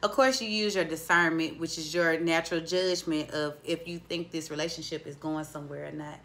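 A woman in her late twenties hosts a relaxed studio podcast, chatty and expressive with a playful teasing streak. Her voice sits a little low but rings bright and clear into a close studio mic. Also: brisk. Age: 20s. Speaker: podcast host. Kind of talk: casual podcast interview. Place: small indoor studio. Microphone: studio mic on boom arm.

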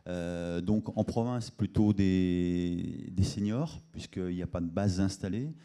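Middle-aged male sales director on a panel, low dark voice, halting delivery. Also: soft background music. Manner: halting, repeats words. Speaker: commercial director, conference panelist. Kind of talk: panel discussion. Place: conference auditorium stage. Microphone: handheld microphone.

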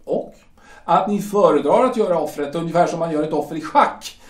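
A man playing a pompous shipyard director in a radio play, lecturing in a condescending, halting way. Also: on the high side, close to an everyday voice. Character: pompous middle-aged male shipyard director, party loyalist. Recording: echoey room, distant mic.

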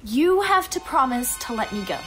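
A woman's voice: high-pitched, very animated, moderate pace, over music. A woman playing a haughty, boastful, whiny young female unicorn in a fan cartoon, speaking theatrically. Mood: defensive, scheming.

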